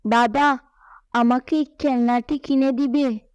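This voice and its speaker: A woman voicing a mischievous, stubborn little boy in a children's cartoon, with a slow childlike delivery. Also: high voice, fairly even intonation, clean tone, dry recording, lo-fi sound. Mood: eager.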